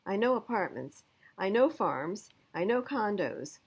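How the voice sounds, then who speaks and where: Woman as thoughtful rescue dog, storybook telling, plain-spoken, emphatic, husky edge, very lively intonation. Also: medium pitch, unhurried, heavily acted. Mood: resigned, wry.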